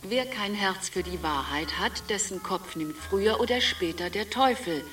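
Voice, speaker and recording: An actress playing a calm, soothing institutional voice that quotes sayings. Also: female voice, mid-range voice, moderate pace, distant mic, faint music behind, natural voice. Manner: soothing and quoting. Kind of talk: radio drama acting.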